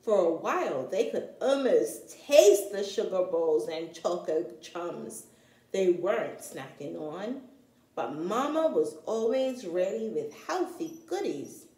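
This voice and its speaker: A woman reading a children's picture book aloud in an expressive, deliberate storytelling manner, as if to young children. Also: lowish voice, echoey room, rough recording. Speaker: storytime reader, teacher-like.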